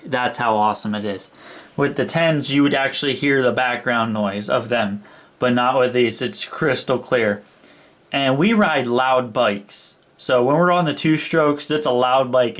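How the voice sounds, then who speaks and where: A man's voice: medium pitch, fairly bright tone. A young man giving an informal, enthusiastic hands-on product review, repeating words for emphasis as he goes. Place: indoors, small room.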